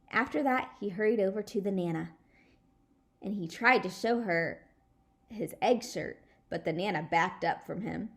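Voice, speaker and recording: A woman reading a children's storybook aloud in an animated, expressive style. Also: mid-range voice. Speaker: storybook reader.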